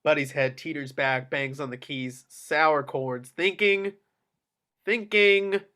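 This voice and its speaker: A man's voice: fairly high pitch, takes it slowly, bright, forceful tone. A man narrating the action lines of a screenplay at a table read.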